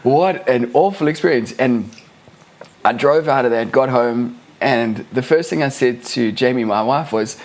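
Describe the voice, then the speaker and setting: A man hosting a casual podcast, husky and hoarse from a cold, chatty and laughing as he tells stories with many restarts. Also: mid-range voice, unhurried. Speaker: podcast host.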